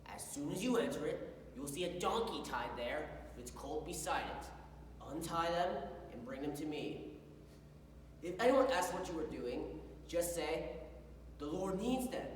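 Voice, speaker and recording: A solemn, commanding holy man acted out by a woman teaches with deliberate, declamatory weight in a stage recitation. The pitch moves widely, and the voice rings from far off in a big echoey hall. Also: animated, slight background noise. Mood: calm instructing. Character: solemn, commanding male religious teacher, forceful preacher.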